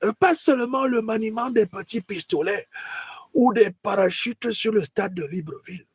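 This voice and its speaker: A man's voice: high voice, normal speed, slightly rough, no room sound, pitched above the performer's own voice. A man voicing an old, imperious, weary dictator in a satirical skit, exclaiming, scolding and repeating himself. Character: old dictator grand master, weary, imperious, mocking mentor.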